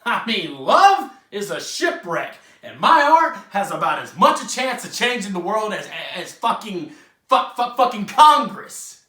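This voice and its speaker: A young man acting a bitter, self-pitying failed playwright in a theatrical monologue, halting and profane as he addresses the audience. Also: sits high, sing-song, bright, forceful tone, heavily acted. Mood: despairing, bitter. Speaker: student actor. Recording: roomy, echoing room sound.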